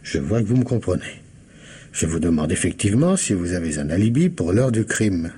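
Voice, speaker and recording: An actor playing a shrewd, courteous police commissioner in a radio mystery drama, husky and politely insinuating as he questions methodically. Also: low-pitched, lively intonation, fairly quick, warm tone, heavily acted. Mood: probing, insistent.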